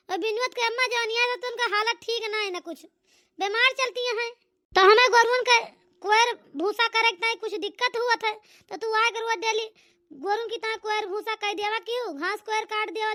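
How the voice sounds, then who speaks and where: औरत की आवाज़ में ऊँची आवाज़ वाली अवधी चाची. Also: female voice, extremely high pitch, pushed and bright. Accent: Awadhi. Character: village neighbour auntie, practical, bargaining buffalo owner. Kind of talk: homemade cartoon comedy dialogue.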